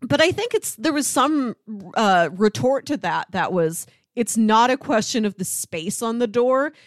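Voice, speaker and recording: A woman's voice: on the high side, lively intonation, unhurried, dry recording, studio quality. A woman talking casually as a podcast co-host about film, explaining earnestly and peppering her talk with "like".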